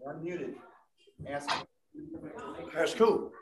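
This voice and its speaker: A man's voice: fairly high pitch, very slow, echoey room, a crowd in the background, low-quality recording. A man on a jail video link, calling out short questions and remarks as a defendant. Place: jail cell door opening, video call.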